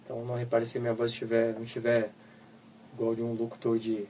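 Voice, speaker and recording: A man's voice: medium pitch, monotone, fast, warm tone, recorded from a distance, a little hiss or hum. A Brazilian man co-hosting a live web radio show, recovering from a flu, explaining with everyday examples. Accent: Brazilian Portuguese. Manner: informal, explains with everyday examples and voiced asides. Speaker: co-host psychologist.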